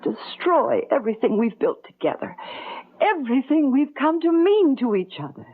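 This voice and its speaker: Earnest high-voiced woman, tinny radio. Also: big pitch swings, normal speed, heavily acted. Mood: pleading, insistent. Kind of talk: 1970s radio drama acting. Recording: tinny narrow-band old radio broadcast.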